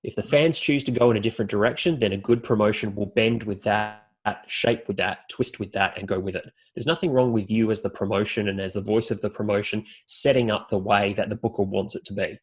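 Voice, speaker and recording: A man's voice: fairly low pitch, fairly even intonation, brisk, few pauses, husky. An Australian man speaking as a podcast guest and announcer, explaining things articulately and building his points in lists. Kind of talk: podcast interview talk.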